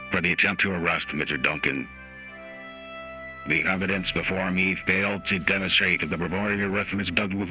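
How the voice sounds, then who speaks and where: A man voicing a stern, formal judge in a measured dramatic reading, through a voice changer, with music behind. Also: deep voice, sharp tone, voice pushed down, poor audio. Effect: voice changer app. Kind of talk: dramatic reading of a court opinion. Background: music added by the app.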